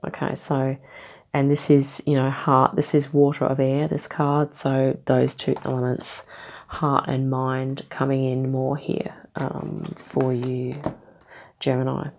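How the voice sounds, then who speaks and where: A woman giving a casual tarot reading, rambling gently with lots of ums and okays, a bit nasal from a cold. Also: a bass voice, completely flat delivery, slow pace. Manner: meandering, many ums and okays, gentle reassuring tone. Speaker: tarot reader.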